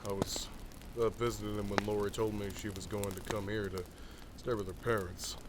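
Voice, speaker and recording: A man's voice: fairly low pitch, level tone, moderate pace, close to an everyday voice. A man playing a loyal, practical survivor in a horror comic dub. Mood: regretful, explanatory. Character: male survivor, loyal, practical friend of the ex-cop. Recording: clearly noisy track with clicks.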